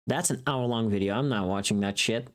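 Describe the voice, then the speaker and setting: A woman's voice: extremely low pitch, brisk. A woman livestreamer chatting casually with her viewers in a playful, teasing and bluntly informal way. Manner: playful, teasing, reacting to chat.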